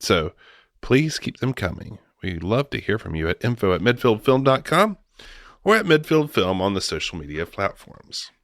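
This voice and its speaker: A man's voice: lowish voice, very animated, normal speed, fairly bright tone, dry recording. A man hosting a podcast in a casual, chatty style. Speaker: podcast host.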